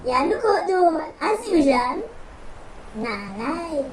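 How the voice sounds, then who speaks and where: A woman playing a sassy, flirtatious, sharp-tongued Jamaican woman in a radio drama, bantering brashly in Patois. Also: high-pitched, big pitch swings, takes it slowly, a loud crowd, heavily acted. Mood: flirty, sassy. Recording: noisy, chirping birdsong behind.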